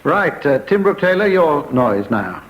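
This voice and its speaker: A man's voice: fairly high pitch, big pitch swings, a little slow, highs cut off. A British man chairing a comedy radio panel show in a hesitant, dry, mock-formal manner. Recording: noisy old radio recording with clicks.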